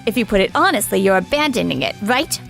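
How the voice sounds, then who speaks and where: A woman's voice: mid-range voice, lively intonation, fast, creaky, fairly bright tone, faint music behind. A woman voicing a polite but blunt factory client in a manga drama dub, politely cutting in manner. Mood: blunt, displeased.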